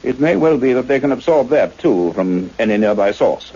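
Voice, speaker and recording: A man's voice: medium pitch, expressive, moderate pace, mellow, husky. A man acting an earnest, methodical scientist in a radio play, speaking formally and precisely. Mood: measured explaining. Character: earnest, methodical male scientist, a doctor who narrates. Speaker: actor playing the lead and narrator. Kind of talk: radio drama acting and scripted narration. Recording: muffled radio recording with faint noise.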